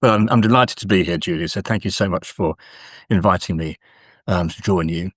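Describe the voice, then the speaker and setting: A middle-aged man with a very low voice, recorded close and dry. He speaks as an academic guest in an interview, feeling his way through answers with many hesitant pauses. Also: fairly bright tone, husky, dry recording. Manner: hesitant, halting delivery with frequent pauses. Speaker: guest academic, university professor.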